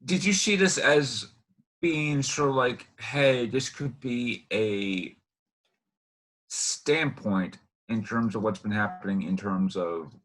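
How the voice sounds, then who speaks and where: A man hosting an informal interview, asking rambling questions in a halting way as he searches for words. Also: medium pitch, a bit bright, poor audio. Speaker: interview host.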